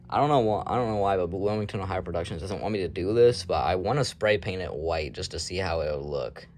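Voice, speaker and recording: Casting: man, low-pitched, casual hobbyist vlogger, offhand and self-deprecating delivery, unscripted rambling. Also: darkish tone. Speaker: hobbyist showing a radio collection. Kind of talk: casual unscripted show-and-tell.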